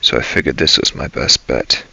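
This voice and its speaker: A man hosting a gaming series, narrating a scripted first-person story in a brooding, halting, dramatic way. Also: pushed and bright, poor audio. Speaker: host of a role-play gaming series.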